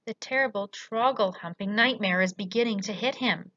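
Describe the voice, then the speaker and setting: Woman voicing a hushed, earnest friendly giant. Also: medium pitch, normal speed, dry recording, muffled recording, natural voice. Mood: hushed excitement. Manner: hushed, earnest, explaining in quaint broken grammar. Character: gentle, friendly male giant with muddled grammar.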